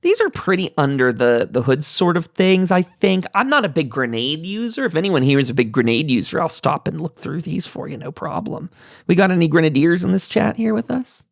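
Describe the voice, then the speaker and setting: Gravelly man hosting a casual livestream. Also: a little high, very animated, fairly quick, no echo, clean studio sound. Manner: rambling, reads aloud then adds offhand asides. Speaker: podcast host and panel moderator. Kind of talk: casual livestream roundtable, reading and commenting on notes.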